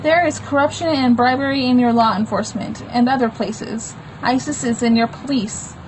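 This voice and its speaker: A woman with a fairly high voice reads aloud informally, adding her own loose explanations as she goes. The delivery is plain and unpolished, and there is clear noise behind her. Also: normal speed, rough recording. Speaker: comic book author reading own work.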